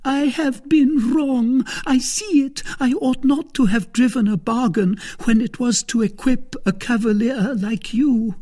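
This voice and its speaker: High, breathy, pleading wife. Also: male voice, unhurried, hardly any pauses, warm tone. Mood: desperate pleading. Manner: pleading, apologetic, wheedling. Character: pleading, flustered middle-class wife, breathy and anxious.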